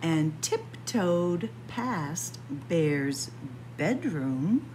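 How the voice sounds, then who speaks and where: A woman reading a picture book aloud to young children in an expressive manner, addressing them directly as friends. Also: on the low side, very slow, a little hiss or hum. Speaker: library story time reader. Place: indoors in a library, by bookshelves.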